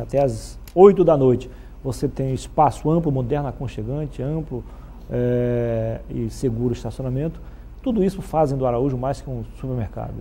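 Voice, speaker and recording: A Brazilian man with a husky voice, hosting a television talk show in a chatty presenting style. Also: mid-range voice, mellow. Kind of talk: live show presenting with sponsor read and chatty interviewing.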